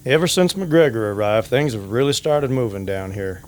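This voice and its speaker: A man playing a hesitant, worried small-town barber in an old-time Western radio drama, talking in a halting, confiding way. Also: mid-range voice, expressive, close to an everyday voice. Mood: hesitant, uneasy.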